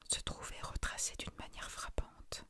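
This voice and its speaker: A woman narrates a literary bedtime story entirely in a whisper. The sound is bright and crisp in its hiss, with a soft, hushed ASMR delivery meant for listening before sleep. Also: moderate pace. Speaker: narrator reading a short story.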